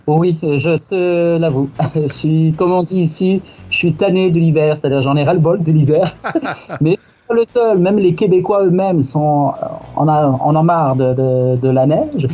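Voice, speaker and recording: A man's voice: on the high side, measured pace, muffled recording. A man from Marseille answering interview questions, hesitant, with frequent restarts and laughs. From Marseille, France. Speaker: guest.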